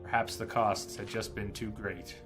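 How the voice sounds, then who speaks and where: A man's voice: lowish voice, fairly even intonation, moderate pace, over music. A man who streams games, talking through a playthrough and reading lore aloud to his viewers. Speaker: game streamer. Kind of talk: live game commentary and reading in-game lore aloud.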